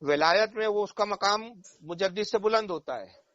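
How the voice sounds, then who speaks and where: A high-voiced man explains religious matters like a cleric, emphatic and given to repeating key phrases. He is recorded over a phone call, with a dull, very dry sound. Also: moderate pace, a bit bright, no room sound. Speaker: Islamic cleric.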